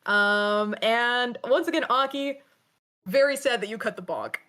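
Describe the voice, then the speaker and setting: A woman's voice: fairly high pitch, lively intonation, measured pace, sharp tone. A woman co-hosting a livestream, playfully teasing and reading viewer comments aloud. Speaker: co-host reading chat. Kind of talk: casual livestream chat.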